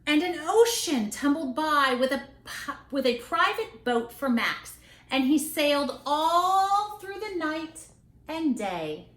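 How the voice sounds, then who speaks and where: A woman's voice: high-pitched, very animated, a bit bright. A woman reads a picture book aloud to young children expressively, with dramatic stressed words and chatty asides. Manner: dramatic, drawn-out delivery with stressed key words. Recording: echoey, roomy sound.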